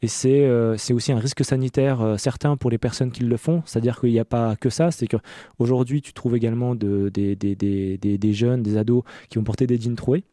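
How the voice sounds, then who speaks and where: A man in his early thirties from France talks as an interview guest. His voice is very warm and relaxed, at an average pitch, and recorded dry. He tells his story conversationally, hesitating and restarting as he searches for words. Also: dry recording. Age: early 30s. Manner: hesitant, halting delivery with many self-repetitions. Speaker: interview guest, clothing maker.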